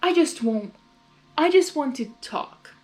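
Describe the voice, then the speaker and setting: A woman takes on a sly male chaos creature from a fan fiction story. The voice is high for such a villain, drawling and theatrical, smug and mocking, with music behind it. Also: lively intonation, slow pace. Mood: smug negotiating. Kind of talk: fan fiction character reading.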